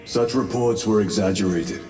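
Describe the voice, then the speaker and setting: Man playing low, stoic warrior lieutenant, reverberant hall. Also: moderate pace, a little mellow, close to an everyday voice. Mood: stoic, curt. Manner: formal, terse, restrained. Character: stoic battle-hardened male space marine lieutenant, formal and guarded. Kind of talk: dramatic video game cutscene acting. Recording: reverberant hall, music behind.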